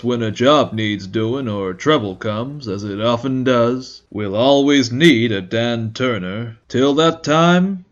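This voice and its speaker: A man narrating a western story in a measured, folksy scripted style. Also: medium pitch. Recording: slightly dull recording.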